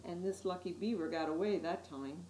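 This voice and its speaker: A woman reading a children's picture book aloud as an expressive storyteller, with little asides to her listeners. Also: lowish voice, normal speed, slight background noise. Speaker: story time reader. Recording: echoey room.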